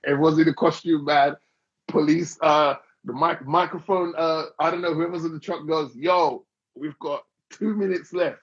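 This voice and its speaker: A man's voice: a little high, fairly even intonation, normal speed, slightly rough. A man hosting an interview, teasing his guest and asking long, rambling questions in a casual chat. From Dominica. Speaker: interview host. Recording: dull lo-fi recording.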